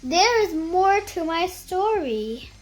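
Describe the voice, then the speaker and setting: A child reading a picture book aloud in a careful, halting beginner's way, with music behind. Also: very high-pitched, sing-song, poor audio.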